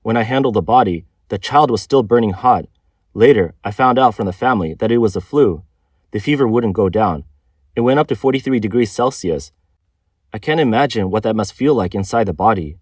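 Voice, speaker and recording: A man's voice: fairly low pitch, lively intonation, brisk, darkish tone, breathy edge, no echo, studio quality. A man reading a scripted news narration as the narrator of a report.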